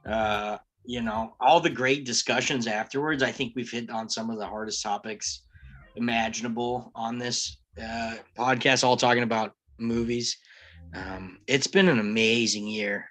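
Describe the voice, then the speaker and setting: A man tells a story over a video call in a bright, forward voice. He rambles with fillers and easy laughter. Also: mid-range voice, steady tone, normal speed. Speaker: cast member of a live table read podcast.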